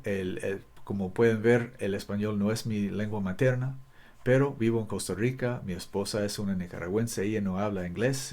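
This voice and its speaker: Bible teacher, man, native English speaker, lowish voice, halting with restarts. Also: a little mellow. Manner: careful, halting, frequent restarts. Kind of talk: video Bible study. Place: Costa Rica.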